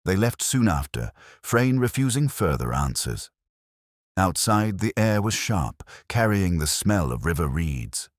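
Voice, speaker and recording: Very deep male mystery narrator. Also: sing-song, unhurried, no echo, studio quality. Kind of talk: scripted mystery story narration.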